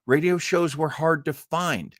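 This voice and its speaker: Dude live streaming, slow and halting, highish voice, dry close sound, rambles. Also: male voice, a bit bright, dry recording. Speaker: livestream host. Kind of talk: casual rambling live commentary.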